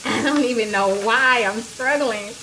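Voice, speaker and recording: A woman's voice: on the high side, moderate pace. A woman, talking casually to viewers while she cooks in her home kitchen on a phone livestream. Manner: chatty and playful, talks to viewers as y'all. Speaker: cooking show host. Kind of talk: casual livestream cooking chat. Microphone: phone on a tripod, close to arm's length. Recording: noisy kitchen with sizzling frying.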